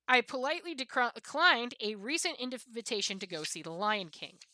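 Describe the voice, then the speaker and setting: A woman reading humorous prose aloud as a streamer, expressive, with occasional stumbles and self-corrections. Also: a little high, a little fast, no room sound. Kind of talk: reading aloud from a comic book of essays.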